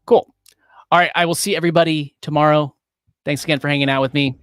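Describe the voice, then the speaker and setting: A man hosting a casual livestream, upbeat and rambling with many fillers, his voice going a little hoarse. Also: a little high, fairly even intonation, quick pace, a bit bright, no room sound. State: losing his voice. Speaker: livestream host.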